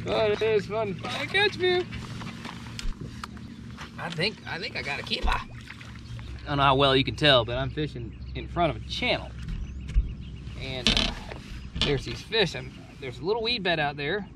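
A man's voice: fairly high pitch, expressive, moderate pace, long pauses. A young man talking casually while fishing from a boat on a lake, filmed by an action camera mounted on the boat, with wind and water noise around him. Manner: chatty, thinking aloud while fishing. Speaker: angler filming himself. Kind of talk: casual fishing vlog commentary. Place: outdoors on a bass boat on a lake.

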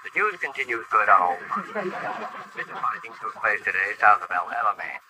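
A man playing a sober wartime radio newsreader, formal and measured, in a 1940s bulletin over a radio. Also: fairly low pitch, animated, crowd noise, natural voice, low-quality recording.

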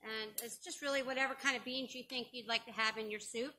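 A woman's voice: fairly high pitch, steady tone, fast, very noisy. A middle-aged woman chatting through an outdoor open-fire cooking demonstration, explaining step by step with frequent ums, picked up by a camera a few meters away in a grassy yard. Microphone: camera on a stand a few meters away.

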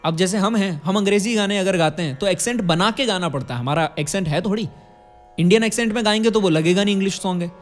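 Young indian guy, pretty high voice, explaining vocal practice like a teacher, soft music in the back. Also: fast. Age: 20s. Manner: explanatory, demonstrates sounds, checks in with tag questions. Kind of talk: instructional talk on singing and diction. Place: indoor curtained studio. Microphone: studio condenser microphone close in front.

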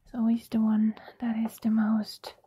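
A woman in her twenties doing a whispered ASMR roleplay, speaking close to the mic in a breathy, gentle, reassuring way. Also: on the high side, monotone, moderate pace, a little hiss or hum. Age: 20s. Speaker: ASMR roleplayer playing a surgeon. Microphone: close mic, speaking through a surgical mask.

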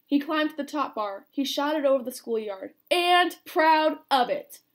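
A woman's voice: high voice, moderate pace, darkish tone. A woman doing a boastful fourth-grade boy. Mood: proud.

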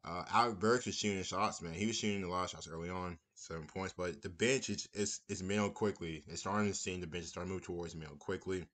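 A young man with a low, deep voice gives a relaxed post-game reaction. He rambles and circles back to the same phrases. He is recorded on a webcam in a small room. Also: quick pace, few pauses. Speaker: basketball fan commentator.

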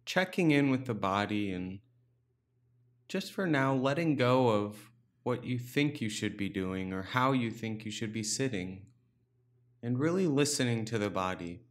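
A man leading a guided meditation, speaking calmly and without hurry, leaving pauses between short instructions. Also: medium pitch. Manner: calm, unhurried instruction with pauses. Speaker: meditation teacher.

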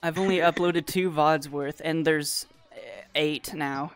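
A streamer chatting casually and reading viewer questions aloud, then answering offhandedly in a halting way. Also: mid-range voice, steady tone, smooth. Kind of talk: casual livestream chat, answering viewer comments. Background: background music.

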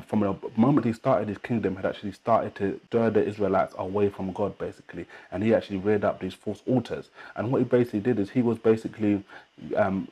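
A man's voice: lowish voice, mellow, breathy edge, poor audio. A man in his thirties hosting a channel, talking to camera indoors and earnestly explaining a story through scripture. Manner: earnest, explanatory, leans heavily on "basically". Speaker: channel host. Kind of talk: Bible-based commentary on a news story.

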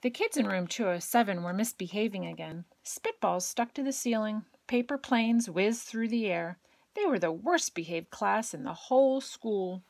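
A woman with a gentle, expressive storytelling manner, reading a children's picture book aloud. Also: mid-range voice, normal speed, gravelly, a little hiss or hum. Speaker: educator and child care provider reading aloud.

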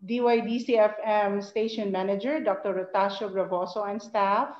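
A woman's voice: mid-range voice, steady tone, moderate pace, a little mellow. A woman with a Filipino accent giving a formal welcome speech as an academic project leader, in a muffled, echoey recording. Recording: muffled, echoey room sound.

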